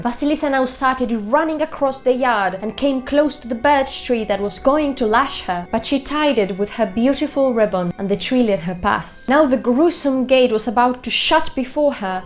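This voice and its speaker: High-voiced woman storyteller. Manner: dramatic, deliberate storybook phrasing. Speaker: storyteller, host. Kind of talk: expressive folktale narration.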